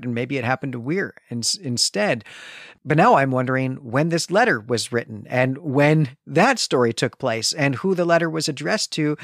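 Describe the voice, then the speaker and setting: A man's voice: mid-range voice, no room sound, studio quality. A man co-hosting a podcast, talking through a story in an articulate, explanatory way. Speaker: podcast host. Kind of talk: literary discussion and recap.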